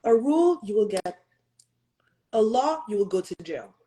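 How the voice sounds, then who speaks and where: An earnest woman in her thirties gives a motivational talk with a mellow, warm tone. She leans on emphatic rhetorical questions to push her listeners, and now and then slips into French and Haitian Creole. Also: on the high side, sing-song, normal speed. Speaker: host.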